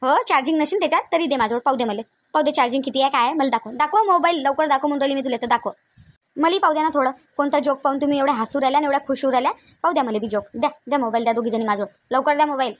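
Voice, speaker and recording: A woman's voice: sits high, rapid-fire, muffled recording, close to an everyday voice. A woman voicing a bossy, suspicious older mother-in-law in a cartoon family skit, insistent and repetitive, with a Vidarbha Marathi accent. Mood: suspicious, demanding. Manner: scolding, insistent, repeats her demands.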